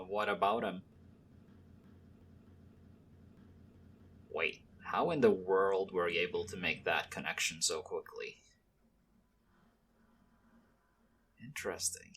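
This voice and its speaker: A man playing a guarded, weary, quietly kind young mafia henchman in an ASMR roleplay, speaking low-key and hesitantly to the listener. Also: slightly rough, heavily acted. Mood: guarded, confiding.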